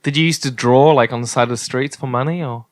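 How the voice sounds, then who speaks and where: A man's voice: mid-range voice, fast, no echo, studio quality. A man guesting on a comedy podcast, riffing in a playful, mock-earnest way. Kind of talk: improvised comedy chat.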